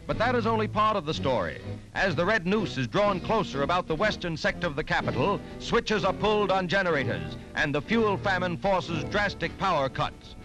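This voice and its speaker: A man's voice: high voice, animated, moderate pace, poor audio. An American man narrating a scripted 1940s newsreel in an urgent, emphatic, declamatory manner. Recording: 1940s newsreel soundtrack with music. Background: orchestral music.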